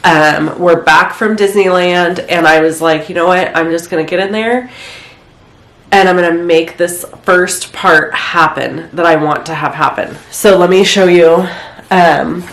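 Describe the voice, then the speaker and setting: A woman with a stuffy head cold chats casually while she clears out her home craft room. She rambles a bit and uses lots of filler words. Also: fairly low pitch, steady tone, unhurried. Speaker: crafting vlogger. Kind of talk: casual chat while decluttering. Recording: echoey room, faint noise.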